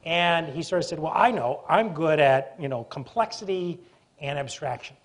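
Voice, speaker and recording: A middle-aged man with a voice that sits a little high introduces and questions a guest on stage. He talks in a chatty, wry way, restarting sentences as he goes, picked up on a lapel mic in a university auditorium. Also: lively intonation, moderate pace. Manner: chatty, self-interrupting, wry. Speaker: moderator. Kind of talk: moderated stage conversation. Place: university auditorium with live audience.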